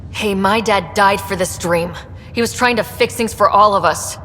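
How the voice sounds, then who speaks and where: An actress playing a young space explorer heroine in a video game, direct and measured. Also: female voice, mid-range voice, bright, forceful tone, slight background noise, close to an everyday voice. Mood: defensive. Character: young female pathfinder hero, firm and composed.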